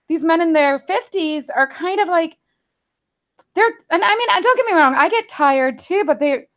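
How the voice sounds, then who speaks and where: A woman in her forties chatting animatedly on a video call, listing examples quickly. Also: sits high. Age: 40s. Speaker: podcast host. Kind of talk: casual chat.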